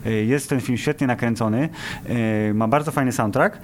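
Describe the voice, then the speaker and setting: A man with a mid-pitched voice talks casually about films as a podcast host. His manner is informal and wry, and there is some noise in the room around him. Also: moderate pace. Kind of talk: casual film discussion.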